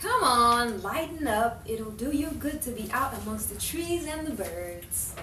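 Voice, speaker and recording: A woman acting a bossy, quick-witted older friend in a 1950s Hollywood-style audio drama, brisk and insistent as she encourages. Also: on the high side, animated. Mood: coaxing. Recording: roomy, distant mic, faint background noise.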